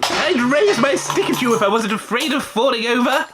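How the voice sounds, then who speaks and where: A man's voice: very high voice, animated, moderate pace, background noise. An actor playing a doddery, flustered old male antiques dealer in a comedy radio sketch. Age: older. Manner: flustered, protesting.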